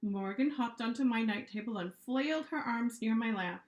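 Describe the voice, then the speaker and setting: A woman reading a children's story aloud as an author, with expressive narration. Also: fairly high pitch, moderate pace. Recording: echoey room.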